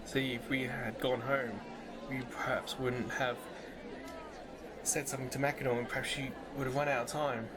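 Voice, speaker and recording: A man's voice: mid-range voice, flat delivery, moderate pace, crowd noise, noisy background. A man hosting a video game playthrough, commenting casually in a musing, conversational way. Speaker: gaming YouTuber host. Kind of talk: casual let's-play commentary.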